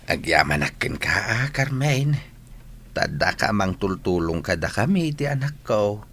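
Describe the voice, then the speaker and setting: A man's voice: fairly low pitch, very animated, a little slow, fairly bright tone, slight background noise, heavily acted. A man with a Filipino accent playing a grateful, devout elderly father in an audio drama. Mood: weary, grateful.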